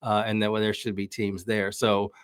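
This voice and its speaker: A man's voice: fairly low pitch, little pitch movement, normal speed, smooth, no echo. Casual male soccer analyst, dry close sound. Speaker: podcast guest, women's soccer commentator. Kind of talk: casual sports analysis in conversation.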